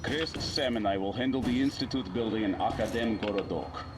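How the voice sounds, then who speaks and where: An actor playing a practical, steady ranger in a video game, briefing the squad in a businesslike way. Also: male voice, on the low side, a little fast, natural voice. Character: practical, steady male ranger laying out a plan. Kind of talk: dramatic video game acting. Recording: echoey with loud background noise.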